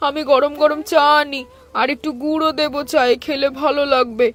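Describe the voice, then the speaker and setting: A woman's voice: sits high, steady tone, brisk, lightly acted. A performer voicing a caring, motherly middle-aged village woman in a cartoon folk tale. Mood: fussing, caring.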